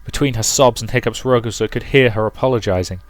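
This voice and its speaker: A man's voice: medium pitch, a little fast. A man reading a visual novel aloud as dramatic storybook narration for a let's play.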